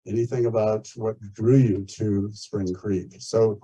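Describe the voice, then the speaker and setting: A man's voice: on the low side, steady tone, unhurried, mellow, husky, rough recording. A man casually hosting a community meeting and inviting people to speak. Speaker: meeting host.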